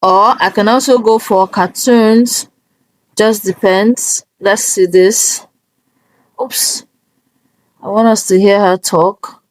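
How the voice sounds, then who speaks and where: A woman presents a casual how-to at an unhurried pace. Her medium-pitched voice goes step by step, haltingly, with frequent little check-ins. The sound is close and dry. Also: fairly even intonation, no echo, low-quality recording. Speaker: tutorial host. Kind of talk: casual screen-recorded software walkthrough.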